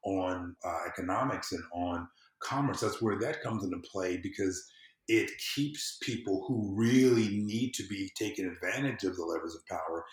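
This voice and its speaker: Low male voice, American accent, earnest emphatic podcast talk, halting repetitions, roomy echo. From southern United States. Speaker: podcast guest, civic empowerment consultant. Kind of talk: casual podcast conversation. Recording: roomy, echoey sound.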